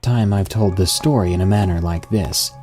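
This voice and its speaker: A man reading a first-person story in an even, measured storytelling style. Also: sits low. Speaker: story narrator. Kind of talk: scripted first-person narration. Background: music.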